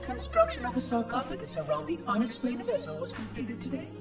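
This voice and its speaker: A man's voice: very high-pitched, huge pitch swings, brisk, heavily acted. A man voicing an ancient, dutiful and curious robot caretaker in a game cutscene, speaking formally and precisely with synthetic processing. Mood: clinical. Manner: formal, precise, fussily articulate. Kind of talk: scripted game cutscene monologue. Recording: echoey, distant, music underneath.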